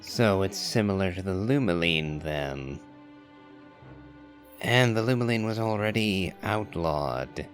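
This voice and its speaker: A man giving wry, measured, articulate commentary on a game's story. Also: sits low, animated. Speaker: gamer, channel host. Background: game music.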